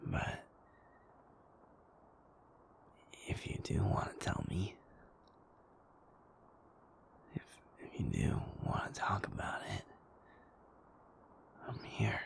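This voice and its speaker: A man playing a gentle, devoted werewolf boyfriend in an intimate ASMR roleplay, speaking in a breathy whisper with tender, halting reassurance. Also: wildly swooping pitch, full character voice. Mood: concerned, gentle. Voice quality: breathy whisper with gravelly low murmur.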